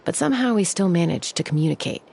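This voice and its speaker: A woman voicing a steady, frank young woman raised in the wild, speaking in a measured, earnest way for a video game. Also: on the low side, vocal fry, a little hiss or hum. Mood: thoughtful, casual.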